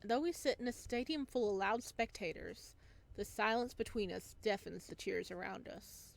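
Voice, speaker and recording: A woman hosts a gameplay session, reading a visual novel aloud. Her voice sits at a middle pitch. She moves between the written story and off-the-cuff asides. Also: normal speed, gravelly.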